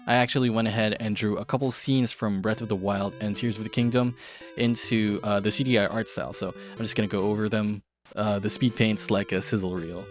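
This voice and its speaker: A man hosting a casual, narrated art tutorial in a chatty, informal, self-deprecating way. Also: lowish voice. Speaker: host of an art tutorial show. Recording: background music under voice. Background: music.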